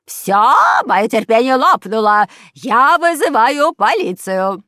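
A woman voicing a furious, fussy older female neighbour in a children's storybook, loud and indignant. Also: on the high side, animated, takes it slowly, dry recording, studio quality, voice pushed up. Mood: furious, shouting. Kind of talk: children's audio storybook character voice.

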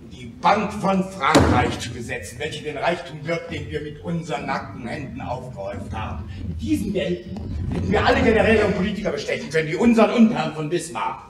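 An actor playing a fervent Paris Commune revolutionary, speaking in an emphatic, declamatory way in a radio drama. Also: male voice, fairly high pitch, expressive, brisk, lightly acted. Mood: fervent, indignant. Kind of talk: radio drama acting, political oratory. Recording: echoey, distant, noisy recording.

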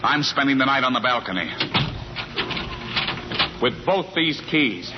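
An actor playing an exasperated, loyal bachelor friend, emphatic and stammering, in 1940s radio comedy style. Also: male voice, mid-range voice, animated, measured pace, natural voice. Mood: flustered. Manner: emphatic, stammering when flustered. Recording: muffled, noisy 1940s radio recording.

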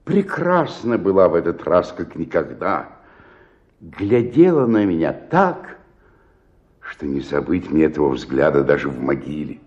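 An actor playing a despairing, self-pitying old provincial actor in a radio play, declaiming and then sinking into murmurs. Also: male voice, extremely low pitch, expressive, measured pace, a little mellow, lo-fi sound. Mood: rapt, wistful. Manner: declamatory, swinging between outburst and murmur. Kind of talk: radio play dramatic monologue.

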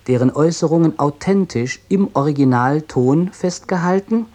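A man reading a scripted introduction as an author, in a formal, measured, academic manner. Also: medium pitch, a little mellow. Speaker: author introducing his radio play. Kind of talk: scripted introduction read aloud.

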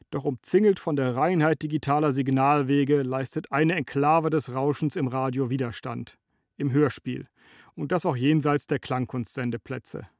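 A man narrating a scripted radio essay in a measured, lecturing way. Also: mid-range voice, darkish tone.

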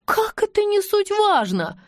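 A woman's voice: very high voice, very animated, a little slow, dry recording, clean studio sound, pitched above the performer's own voice, full character voice. A woman voicing a brash, tactless village gossip, blurting and indignant, in an audiobook story. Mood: indignant, spiteful.

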